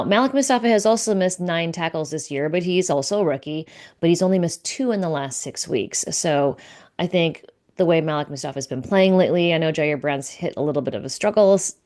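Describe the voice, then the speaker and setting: A woman with a low voice talks through a football recap in a relaxed, conversational way, pausing on "um" and "you know" as she relays quotes. She reads grades and numbers off her notes between her own comments. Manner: conversational, many fillers, reads out stats and quotes. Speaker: sports reporter recapping team news. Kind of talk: casual solo recap to camera.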